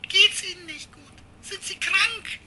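A woman's voice: extremely high pitch, unhurried, sharp tone, slight background noise, exaggerated voice. A woman playing a polite but stern publisher with a formal, businesslike manner in an amateur radio play. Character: polite but stern female New York publisher, admiring fan. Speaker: publisher on a phone call.

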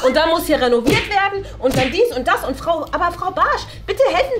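A woman's voice: very high voice, big pitch swings, a little fast, over music. A woman acting a condescending, exasperated former headmistress in a comedy sketch, lecturing pointedly. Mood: agitated, exasperated. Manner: pointed, lecturing, ends phrases with "ne?". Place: small bright meeting room.

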